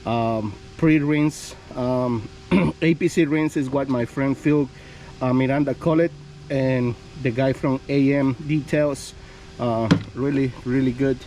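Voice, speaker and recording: A man talking casually as a car detailing vlog host, wandering through his thoughts with plenty of "you know" and "um". He sits at a middle pitch. Vehicle and outdoor noise runs clearly behind him. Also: steady tone, takes it slowly, warm tone, rough recording. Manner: rambling, heavy on "you know" and "um". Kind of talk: casual vlog chat and interviewing.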